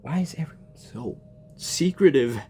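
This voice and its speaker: Lively, jokey man reacting to a game. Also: a little high, normal speed, faint music behind. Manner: jokey, talks back to the characters, playful. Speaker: gamer reacting to a story quest. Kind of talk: casual gaming commentary.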